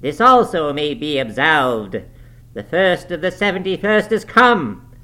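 A man's voice: a little high, very animated, measured pace, pushed and bright, pitched above the performer's own voice, exaggerated voice. A man voicing a preacher who declaims judgment in archaic, sermon-like speech. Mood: thundering. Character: thundering old preacher judging a sinner.

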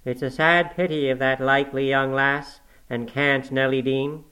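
A man voicing an excitable, kindly country housekeeper woman in an audiobook, with exclamatory rustic phrasing. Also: mid-range voice, normal speed, lightly acted, rough recording. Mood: excited, gossipy. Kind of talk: audiobook character acting.

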